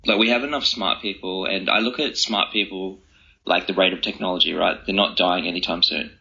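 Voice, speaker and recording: Low, very bright-voiced man being interviewed. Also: steady tone, brisk, creaky. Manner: earnest, reflective. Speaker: interview guest, author. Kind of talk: conversational interview answers. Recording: slightly dull, lo-fi sound.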